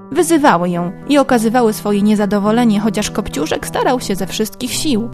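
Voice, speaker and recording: Female storyteller, mid-range pitch, scripted children's tale narration over prominent guitar backing. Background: plucked guitar music.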